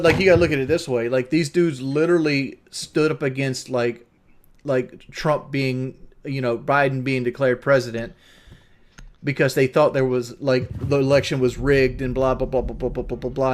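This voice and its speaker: A man's voice: mid-range voice, steady tone, moderate pace. A man in his thirties ranting about politics on stream, emphatic and profane, with lots of restarts. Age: 30s. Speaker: streamer in a clipped video. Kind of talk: heated political rant.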